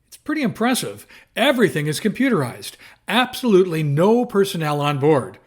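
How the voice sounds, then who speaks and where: An actor playing a breezy, reassuring newspaper reporter in an audio drama, joking and unruffled. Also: male voice, high voice, sing-song, a little fast. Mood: keen, breezy.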